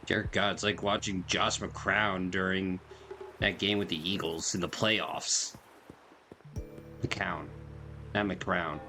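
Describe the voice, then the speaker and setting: A man's voice: sits low, steady tone, slow pace, long pauses, pushed and bright, soft background music. A man streaming a game, giving casual, joking, slangy commentary as he plays.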